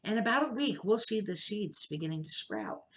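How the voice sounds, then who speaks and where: An older woman voicing a patient, encouraging woman schoolteacher in a storybook, with gentle, instructive delivery. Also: medium pitch, expressive, moderate pace. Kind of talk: children's storybook character reading.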